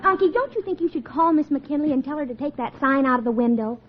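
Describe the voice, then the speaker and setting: A muffled old radio recording with faint noise features a sensible young niece. Her voice is high and lively, gently chiding, acted in 1940s comedy style. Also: quick pace, some chatter behind. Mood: concerned.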